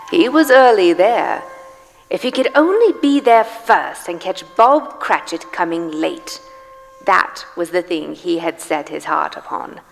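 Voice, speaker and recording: A woman playing the narrator of a live radio play, telling a storybook tale with theatrical, expressive emphasis. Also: fairly low pitch, gravelly. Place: large church, live performance. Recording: echoey church hall, music behind.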